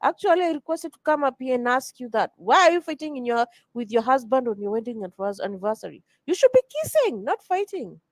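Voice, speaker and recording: A woman hosting a casual livestream chat, teasing a guest and tripping over quick restarts. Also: a little high, sing-song, no echo. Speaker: livestream host.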